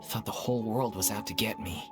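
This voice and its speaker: A man's voice: on the low side, fairly quick, over music, close to an everyday voice. Man voicing an easygoing, sincere young stage actor. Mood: sincere, reflective. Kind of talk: video game voice acting.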